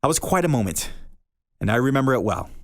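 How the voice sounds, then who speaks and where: A male podcast host reading a short story aloud in an expressive, deliberate way, slowing down for emphasis. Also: mid-range voice, gravelly, no room sound, clean studio sound.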